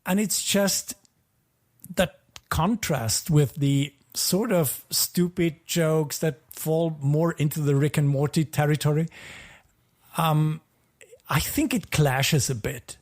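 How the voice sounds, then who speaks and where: A man's voice: a little high, fairly even intonation, slow pace. A man co-hosting a podcast in casual review chat, hesitantly qualifying his opinions and repeating words as he goes. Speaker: podcast co-host.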